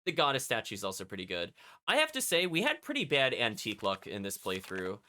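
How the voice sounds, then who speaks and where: Animated, bright-voiced male game streamer. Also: fairly low pitch, fast talker. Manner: chatty, often commenting as an aside. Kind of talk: casual livestream commentary, reading game text aloud.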